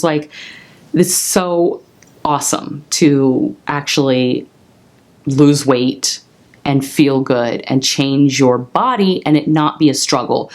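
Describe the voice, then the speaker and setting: A woman with a low, commanding voice tells her personal story on her vlog. She speaks candidly and expressively, her voice jumping higher when she gets excited. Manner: expressive and candid, with lively jumps in pitch. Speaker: vlogger.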